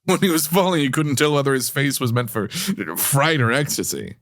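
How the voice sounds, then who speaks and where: A man's voice: mid-range voice, lively intonation, moderate pace, a bit bright, dry recording, close to an everyday voice. A suave, callous noir villain and lover, voiced by a man, with a mid-range voice that slides expressively up and down. He sounds amused with himself, recorded close and dry. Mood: amorous, gloating. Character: smooth-talking, callous male killer and lover. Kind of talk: noir radio drama acting.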